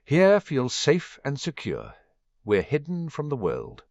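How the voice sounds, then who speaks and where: A man voicing a warm, worried adult man, a protective godfather, in an audiobook reading; he sounds earnest and sighs often. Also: medium pitch, huge pitch swings, slow pace, creaky, no room sound, voice pushed up, heavily acted. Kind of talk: storybook dialogue reading. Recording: dull, dry home recording.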